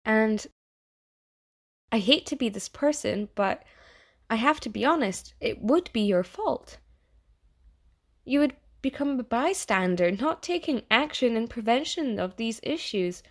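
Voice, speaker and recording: A woman playing a chatty, falsely friendly AI that lives in someone's mind, coaxing and quietly menacing in an audio theatre monologue. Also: on the high side, lively intonation, unhurried, pauses often. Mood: earnest, pressuring. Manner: chummy, casual, coaxing, passive-aggressive.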